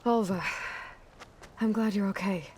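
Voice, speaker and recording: A woman plays a young video game heroine, determined and guarded. Her mid-pitched voice is direct, plain-spoken and self-assured, with faint ambient noise behind it. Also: a little slow, warm tone, natural voice. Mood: relieved, calm. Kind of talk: video game cutscene acting.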